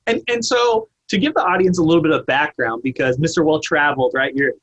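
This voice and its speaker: A man's voice: fairly high pitch, fast, fairly bright tone, highs cut off. A young adult man hosting a casual video call chat, asking questions eagerly with restarts. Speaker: host.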